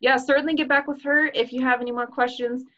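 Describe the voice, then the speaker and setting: A woman's voice: high-pitched, steady tone, fast talker, fairly bright tone, lo-fi sound, slight background noise. A woman giving an earnest, upbeat recruiting presentation over a video call, with casual fillers. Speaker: business presenter.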